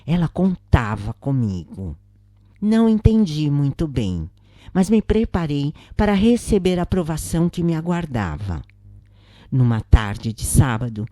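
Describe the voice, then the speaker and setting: A woman with a Brazilian accent reading a scripted story as a narrator, in a measured, deliberate way. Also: low-pitched, dark tone, no echo, studio quality. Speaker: narrator of spiritist stories.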